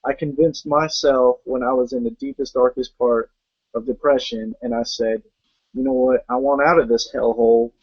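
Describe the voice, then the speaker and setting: A man's voice: medium pitch, moderate pace, no echo. A young man in a middle register speaks earnestly, pressing his points with rhetorical questions. The recording is dull and muffled, with a dry, close sound. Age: young adult. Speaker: network marketing guest. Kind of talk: motivational talk.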